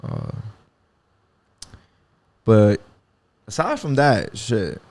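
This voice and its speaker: A man's voice: fairly low pitch, wildly swooping pitch, very slow, a little mellow. A man in his twenties hosting a podcast, talking casually and reflectively with lots of fillers and swearing. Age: 20s. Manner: rambling, reflective, swears freely, many fillers. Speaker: podcast host. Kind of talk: casual solo podcast talk.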